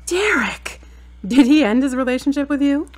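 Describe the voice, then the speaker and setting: A woman's voice: sits high, animated, moderate pace. A woman playing a frank, exacting girlfriend in an audio drama, wry and challenging, with pointed questions. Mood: incredulous, challenging.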